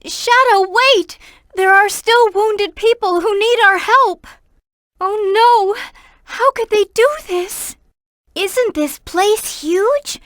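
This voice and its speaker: A woman voicing a gentle, earnest young girl in a video game, caring and polite in the way she talks. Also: extremely high pitch, slow, no room sound, studio quality, full character voice. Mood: alarmed, pleading, then earnest, concerned.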